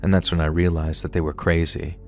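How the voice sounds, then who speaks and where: A man narrating true horror stories submitted by viewers, in a measured, unhurried way. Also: sits low, level tone, vocal fry, a little mellow, faint music behind. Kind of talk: scripted horror story narration.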